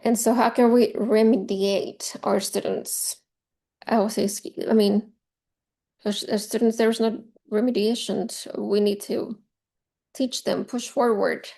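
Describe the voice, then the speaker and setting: A woman's voice: mid-range voice, steady tone, measured pace, vocal fry, warm tone. A woman in her forties leads an informal training session for teachers. She is a native Spanish speaker with a Spanish accent. Her mid-range voice is conversational, and she repeats phrases to drive a point home. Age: 40s. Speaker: teacher trainer leading a professional development session.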